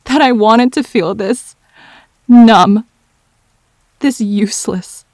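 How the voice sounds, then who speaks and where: Woman acting a slow-spoken, wounded, grieving girlfriend. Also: on the high side, a little mellow, no room sound. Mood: wounded, defensive. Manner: halting, wounded, deliberate phrasing with pauses. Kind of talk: emotional audio drama acting.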